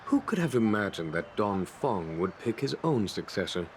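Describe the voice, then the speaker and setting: An actor playing a calm, cunning male general in a game cutscene, speaking in a smooth, knowing, unhurried way. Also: on the low side, slight background noise. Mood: composed. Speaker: game character. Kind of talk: video game cutscene dialogue. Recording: game audio with loud music.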